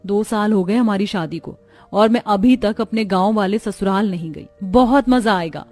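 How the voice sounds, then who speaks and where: A woman's voice: mid-range voice, moderate pace, warm tone, faint music behind, close to an everyday voice. A woman voicing an educated, resolute young city wife in a cartoon story. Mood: wistful, complaining.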